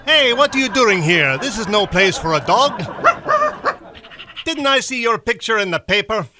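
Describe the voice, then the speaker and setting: Man acting a high-voiced scolding storekeeper, noisy recording. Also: animated, moderate pace. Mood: amused scolding. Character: gruff but amused grocery storekeeper scolding a dog. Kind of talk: old-time radio drama acting. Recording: noisy, with dog sounds behind.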